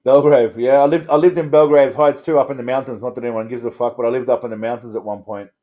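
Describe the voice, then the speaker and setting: A man's voice: medium pitch, fairly even intonation, fast, mellow, lo-fi sound. A man with an Australian accent hosting a casual livestream, rambling and swearing in a crude, blokey way as he talks to viewers. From Australia, Melbourne suburbs. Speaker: host of a livestream channel. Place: indoors.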